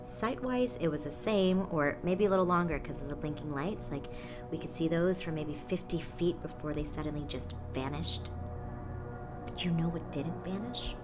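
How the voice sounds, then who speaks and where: Casting: actress as guarded apartment tenant, low female voice, casual and terse, naturalistic podcast drama with music behind. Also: normal speed, close to an everyday voice. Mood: intrigued. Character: casual, guarded woman tenant devoted to a mysterious hole.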